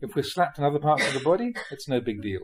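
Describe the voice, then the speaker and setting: A man reading a spiritual talk aloud in a measured, explanatory way, often posing rhetorical questions. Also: fairly high pitch, animated, a little hiss or hum. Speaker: monk reading dharma talks aloud.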